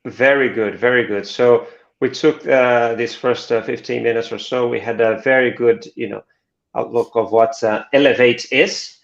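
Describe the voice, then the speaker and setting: A man's voice: medium pitch, fairly even intonation, moderate pace, vocal fry. A man hosting a live webinar over a video call, speaking hesitantly with frequent fillers and repeats. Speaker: host. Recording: dull echoey video call audio.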